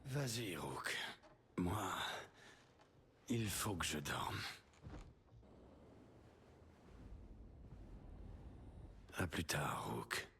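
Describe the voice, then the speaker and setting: Mentor blessé, grave et très expressif. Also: male voice, low voice, sing-song, slow pace, sharp tone, slight background noise, heavily acted. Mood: weary. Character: wounded, wry veteran mentor, warm and encouraging.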